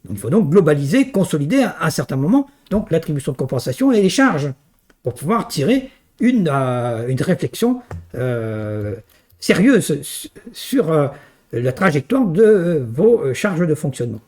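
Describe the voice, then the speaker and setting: A man's voice: a little high, sing-song, moderate pace, darkish tone. A man lecturing on a technical subject in a didactic, emphatic way, often punctuating his points with little tag questions. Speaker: university lecturer. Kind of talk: teaching a technical finance course.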